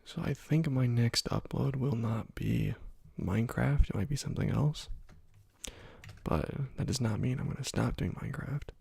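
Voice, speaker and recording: Gravelly whispering man. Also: medium pitch, moderate pace, dry recording. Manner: quiet, laid-back musing while playing. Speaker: gaming YouTuber. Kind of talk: ASMR gameplay commentary.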